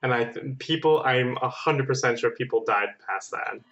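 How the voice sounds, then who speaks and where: A man's voice: medium pitch, normal speed, fairly bright tone, dull audio. A young adult man chatting casually as a guest on a podcast. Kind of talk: casual chat.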